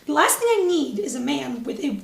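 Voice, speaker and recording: A woman co-hosting a casual chat show, telling stories in an animated way and laughing often. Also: high voice, fairly quick, creaky. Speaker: co-host. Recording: echoey room.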